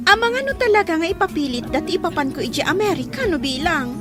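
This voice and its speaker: A woman's voice: very high-pitched, big pitch swings, fast. A woman playing a defiant, carefree teenage daughter in a drama, with a Filipino accent. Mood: sullen. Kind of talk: Ilocano radio drama acting. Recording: loud music under the dialogue.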